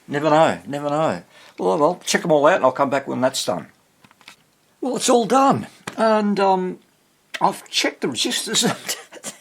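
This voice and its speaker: A man with an Australian accent chatting casually to camera about a repair, rambling and thinking aloud in an easygoing way. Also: medium pitch. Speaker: host of a vintage radio repair channel.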